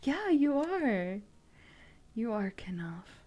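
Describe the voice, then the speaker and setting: A woman streamer speaking softly in a playful ASMR livestream style, often dropping into a whisper. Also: a little high, very animated, slow pace. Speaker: streamer reading tarot.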